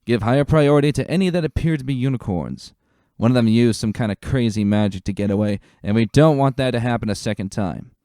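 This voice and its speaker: A man voicing a gruff veteran pony lieutenant, commanding and emphatic, in a dramatic story reading. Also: mid-range voice, fast, mellow, no echo, clean studio sound, pitched above the performer's own voice, close to an everyday voice. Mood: brisk, commanding.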